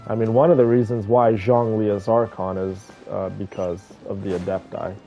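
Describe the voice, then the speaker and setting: A man's voice: fairly low pitch, flat delivery, normal speed, creaky, lo-fi sound, soft background music. A man giving casual gameplay commentary, thinking aloud and talking to his viewers. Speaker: game streamer playing and commenting.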